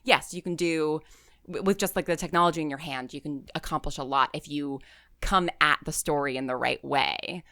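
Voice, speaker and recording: American woman, bright forward voice, slightly low pitch, casual interview talk, storytelling manner. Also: moderate pace, no echo. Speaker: podcast guest, audio drama writer and creator.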